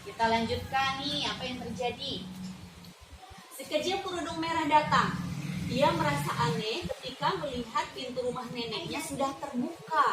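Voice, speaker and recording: A young woman reading a fairy tale aloud to children, lively and often stopping to ask them questions. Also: sits high, moderate pace. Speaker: student teacher. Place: classroom. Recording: roomy classroom from far off, background noise.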